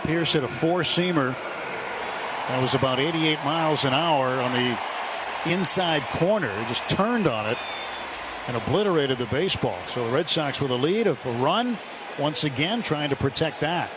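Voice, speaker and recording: A man's voice: on the high side, animated, normal speed, a loud crowd. A man doing live radio play-by-play commentary of a baseball game from a ballpark booth. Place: open broadcast booth at a ballpark. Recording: noisy live stadium radio broadcast. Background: loud outdoor ballpark ambience.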